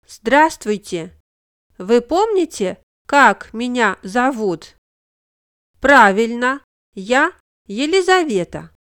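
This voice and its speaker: A woman reading the part of a young female Russian student who introduces herself slowly and carefully. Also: a little high, a bit bright, clear, resonant tone, no room sound, studio quality. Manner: slow, careful textbook reading.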